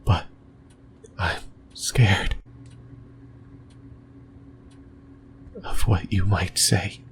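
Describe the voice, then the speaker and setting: A man voicing a lonely, possessive demon in a breathy, brooding whisper for an ASMR roleplay. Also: low voice, fairly even intonation, slight background noise. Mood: anguished, fearful. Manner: slow, halting, brooding delivery. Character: lonely, possessive sleep paralysis demon, lost and yearning.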